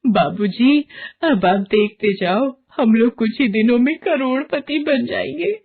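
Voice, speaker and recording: A woman voicing an excitable, greedy young wife and mother in a cartoon moral story, emphatic and melodramatic. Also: on the high side, unhurried, husky, phone-quality sound, pitched above the performer's own voice. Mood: excited, greedy.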